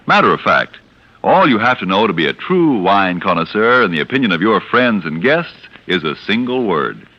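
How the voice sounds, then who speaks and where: A man's voice: fairly low pitch, sing-song, normal speed. A man reading a scripted 1940s radio advertisement as commercial announcer. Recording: muffled vintage radio recording.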